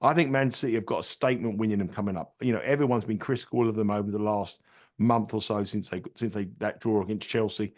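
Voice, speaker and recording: A man's voice: fairly low pitch, quick pace. A man with a head cold, giving football betting tips in rambling podcast chat. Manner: rambling, repeats words and restarts sentences. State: congested with a cold. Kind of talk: casual betting analysis chat.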